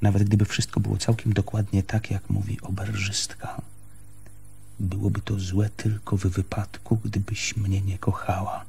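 An actor playing a stubborn, defensive man in a radio play, arguing haltingly and insistently with his lover in a husky voice. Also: lowish voice, monotone, darkish tone, faint music behind. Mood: measured, persuading. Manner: halting, insistent, repeats phrases. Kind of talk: Polish radio play acting.

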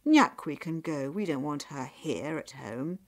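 A woman voicing a harsh mother in a storybook tale, sharp and commanding. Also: low-pitched, lively intonation, slow pace, voice pushed down. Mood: dismissive. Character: harsh, scolding mother who plays favourites.

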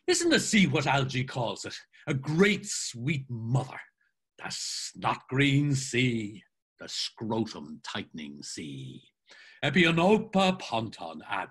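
A man's voice: medium pitch, wildly swooping pitch, slow pace, a bit bright, husky, exaggerated voice. A man playing a boisterous, irreverent young man in a dramatised literary reading, teasing and declaiming theatrically. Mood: exuberant declaiming.

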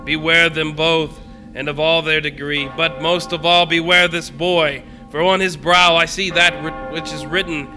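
A man's voice: fairly high pitch, fairly even intonation, unhurried, pushed and bright, soft background music. A man playing a grave, commanding spirit in a radio drama, declaiming solemnly in old-fashioned diction. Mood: stern warning. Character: grave, commanding ghostly spirit guiding a miser. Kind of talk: live stage radio drama acting.

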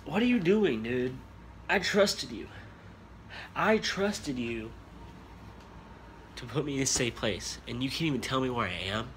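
A man's voice: mid-range voice, expressive, unhurried, long pauses, fairly bright tone, a little hiss or hum. A young man acting in an improvised home drama, frustrated and swearing, recorded on a phone in a small bedroom. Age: young adult. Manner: frustrated, swearing, calls people "dude". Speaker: amateur actor. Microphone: phone camera held at arm's length.

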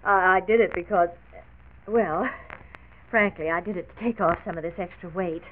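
A woman's voice: lowish voice, moderate pace, natural voice. Modest American woman decorator, played by an actress, earnest and self-deprecating; thin, faintly hissy 1940s radio sound. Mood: flustered. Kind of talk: late 1940s radio drama acting. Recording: tinny, hissy 1940s radio transcription disc.

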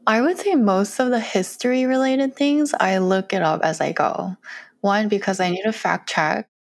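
A woman's voice: medium pitch, normal speed, vocal fry. A young woman from Korea talking casually in an interview, chatty and laughing often, on a close mic at home. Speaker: guest, cooking content creator. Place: small bright room at home, indoors. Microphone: close studio mic with earphones.

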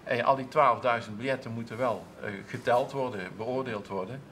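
A man's voice: medium pitch, steady tone, normal speed, a bit bright, a little hiss or hum. A man in his thirties, a council clerk, answering interview questions in a TV studio with many restarts and fillers. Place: TV studio with seated audience, at a table.

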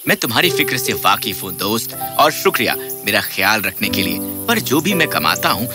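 A man voicing a humble, earnest working man in a children's cartoon fairy tale, polite and expressive. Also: on the low side, music in the background. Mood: surprised, modest.

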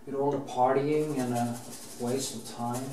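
Norwegian painter, middle-aged, unhurried rambling talk with restarts, off-mic in a roomy space with faint hiss. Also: male voice, medium pitch, fairly even intonation, dark tone, recorded from a distance. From Norway. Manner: slow, rambling, with many restarts and fillers. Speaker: painter teaching oil painting. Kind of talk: casual chat while painting. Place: indoor painting studio.

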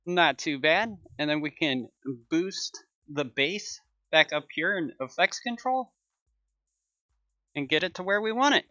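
A man talks through a software tutorial in an informal, upbeat way. His voice is bright and somewhat high. The sound is close and dry, a little lo-fi. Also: lively intonation, a little slow, no room sound. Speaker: tutorial host.

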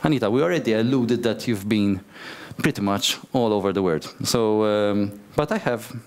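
A man's voice: lowish voice, normal speed. A man moderating a conference panel in an upbeat way, with playful asides to the audience. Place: large conference hall.

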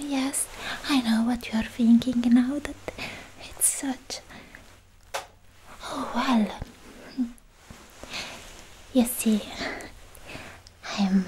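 Girl doing a shy lovesick anime slayer girl, super slow mellow whispery asmr, sweet n hesitant, bit of noise in the background. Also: on the high side, poor audio. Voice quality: soft, breathy whisper. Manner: hesitant, bashful, halting with many pauses. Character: shy, lovestruck young female demon slayer, sweet and romantic. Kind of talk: whispered ASMR roleplay, personal attention. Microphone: close ASMR microphone.

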